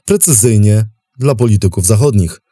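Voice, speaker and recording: A man hosting a history podcast, delivering scripted documentary narration in a measured, dramatic storytelling manner. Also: lowish voice, lively intonation, dark tone, no echo, studio quality.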